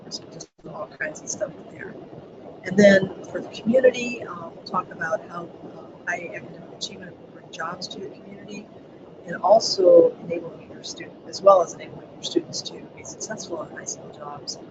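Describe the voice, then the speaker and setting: A webinar presenter walking listeners through training materials, with a soft voice that does not carry, pausing often with ums. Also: mid-range voice, expressive. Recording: loud background noise on a webinar mic.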